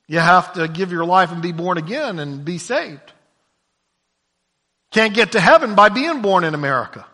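High-voiced preacher in a reverberant hall. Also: male voice. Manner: swings from low hushed lines to loud shouted emphasis; repeats words when stumbling. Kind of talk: sermon.

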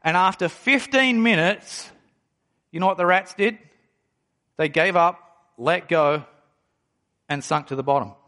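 A pastor in his forties with a fairly high voice preaches a sermon. He reads scripture aloud and then explains it, slowing down and pressing hard on the words that matter. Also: sharp tone. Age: 40s. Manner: emphatic preaching, slows down to stress key phrases.

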